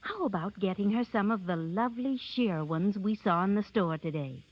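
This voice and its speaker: A woman playing a gentle, kindly aunt giving advice in a 1930s radio advertisement. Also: medium pitch, moderate pace, natural voice. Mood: kindly, advising. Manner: gentle, instructive. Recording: muffled 1930s radio broadcast.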